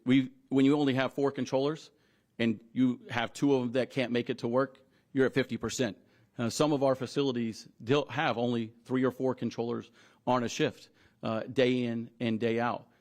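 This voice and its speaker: A man's voice: medium pitch, a little mellow. Man giving emphatic press conference statements. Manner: emphatic, deliberate, repeating phrases for stress. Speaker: union leader at a press conference.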